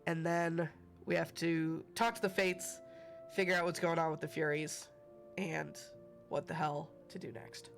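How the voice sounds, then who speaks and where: A man's voice: on the high side, level tone, measured pace, vocal fry, soft background music. A man with a cold and a stuffy voice, hosting a casual live stream and chatting with viewers by name. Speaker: livestream host.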